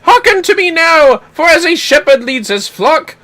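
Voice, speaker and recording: A performer voicing a pompous, theatrical gentleman inspector with grand, archaic, florid delivery in a video game read-aloud. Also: extremely high pitch, sing-song, normal speed, faint music behind, pitched above the performer's own voice, exaggerated voice. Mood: grandiose.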